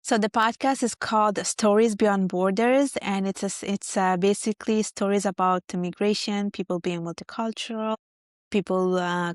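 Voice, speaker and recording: A woman's voice: medium pitch, dry recording, studio quality. A woman in her thirties from Italy, a guest chatting easily about her life in a podcast interview. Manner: friendly, chatty, lists things out. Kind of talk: casual interview chat.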